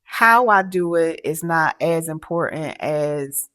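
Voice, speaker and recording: Slow, low-voiced woman podcaster. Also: lively intonation, fairly bright tone. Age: middle-aged. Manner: halting, many fillers and restarts. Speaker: podcast co-host. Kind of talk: casual chat.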